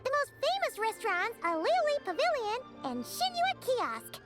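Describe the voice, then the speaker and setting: Squeaky, excitable tiny childlike companion. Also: female voice, moderate pace, over loud music, full character voice. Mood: gleeful, hungry. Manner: bubbly, exclamatory, refers to self in third person. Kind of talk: animated video game voice acting.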